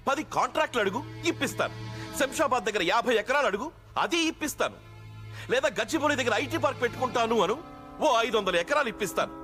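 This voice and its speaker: A man's voice: very high voice, expressive, fast, bright, forceful tone, faint music behind, exaggerated voice. A man playing a pompous, lovesick politician who gets easily flustered, in a theatrical comedy film manner. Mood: bargaining. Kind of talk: Telugu comedy film acting.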